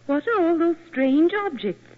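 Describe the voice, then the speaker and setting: Timid English lady, fretful, fluttery, lively pitch, radio play, thin phone-like old recording. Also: moderate pace. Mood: anxious. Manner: nervous, fluttery. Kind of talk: old-time radio drama acting.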